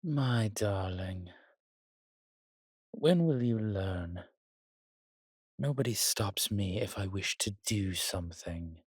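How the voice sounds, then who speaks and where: Man acting a slow, husky, roguish old vampire. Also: fairly low pitch, no room sound, clean studio sound, full character voice. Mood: seductive, teasing. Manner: theatrical, archaic turns of phrase, teasing. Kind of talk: intimate roleplay audio drama.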